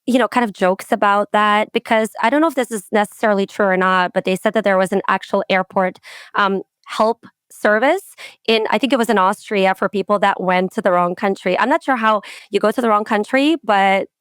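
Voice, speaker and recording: A woman hosting a podcast, introducing her guest and asking friendly, measured questions. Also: mid-range voice, a bit bright, clear, resonant tone, no echo, studio quality. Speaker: podcast host. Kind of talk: podcast interview.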